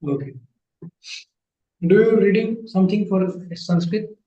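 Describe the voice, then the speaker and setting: A man teaching Sanskrit grammar in an online class, patient and repeating phrases slowly, his English not his first language. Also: high-pitched, reverberant, highs cut off.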